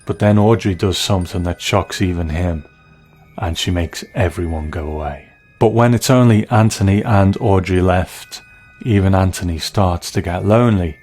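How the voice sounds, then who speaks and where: A man hosting a scripted TV review, speaking in measured, reflective, deliberate phrases. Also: deep voice, a little mellow. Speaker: television review host.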